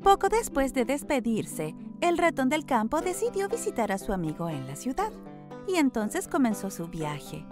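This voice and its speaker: A woman tells a children's story from a script. Her voice is high and very lively in pitch, with an expressive storytelling delivery. Music plays clearly behind her. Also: moderate pace.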